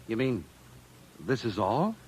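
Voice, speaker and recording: An actor playing a plainspoken, eager scientist sidekick in an old-time radio drama, brisk and matter-of-fact. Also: male voice, mid-range voice, sing-song, a little mellow, husky, exaggerated voice. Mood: businesslike. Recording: noisy vintage radio drama recording.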